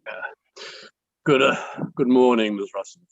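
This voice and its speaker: A man playing a polite, methodical inquiry counsel, asking formal, halting questions in a play table read. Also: mid-range voice, vocal fry, no room sound, highs cut off. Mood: courteous, methodical.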